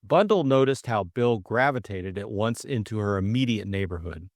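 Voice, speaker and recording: Low-voiced middle-aged man, very dry sound. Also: lively intonation, normal speed, dry recording. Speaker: audiobook narrator and co-host. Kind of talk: narration and casual book discussion.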